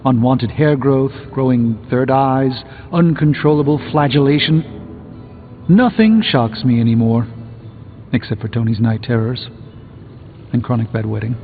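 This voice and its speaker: A man voicing a jaded, dryly mocking hero in a video game, with a deadpan delivery. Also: medium pitch, a little slow, lightly acted. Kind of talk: video game dialogue acting. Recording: phone-like band-limited audio, long reverb, music.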